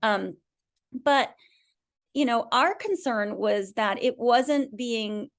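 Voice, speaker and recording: A woman's voice: fairly high pitch, animated, takes it slowly. Woman explaining as an interview guest. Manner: explanatory, often checks with "right?". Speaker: expert podcast guest, clinical officer.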